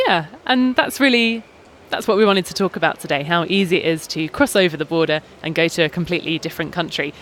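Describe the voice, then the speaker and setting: A British man hosting a podcast outdoors, talking in a measured, articulate way with small hesitations. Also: fairly high pitch, a bit bright, slight background noise. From Britain. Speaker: podcast host and journalist. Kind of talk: casual podcast talk. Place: outdoors in a busy town square.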